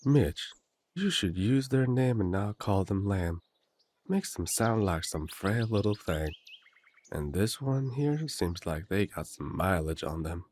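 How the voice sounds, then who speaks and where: A man's voice: deep voice, animated, unhurried, a little mellow. A man playing a cheery, blunt country werewolf big brother in an immersive audio drama, with a folksy, teasing, hearty way of talking.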